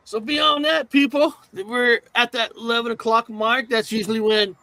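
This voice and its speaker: Co-host, male, high and bright, loose rambling delivery, dry sound. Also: steady tone, normal speed, vocal fry, no room sound. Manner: rambling, repeats filler words. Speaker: co-host of a paranormal talk show. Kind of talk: casual live-stream chat.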